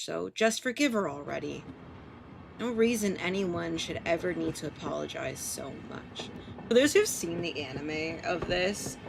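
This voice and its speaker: A woman with an American accent on a live game stream, reading a visual novel aloud and commenting casually, swearing and tripping over words. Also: mid-range voice. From Portland, United States. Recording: clearly noisy background.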